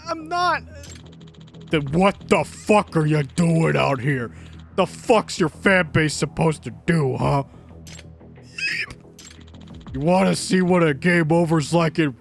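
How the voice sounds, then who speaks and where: A man's voice: fairly high pitch, creaky, fairly bright tone, voice pushed down, full character voice. A man voicing a menacing, foul-mouthed male thug producer in a game dub, snarling threats.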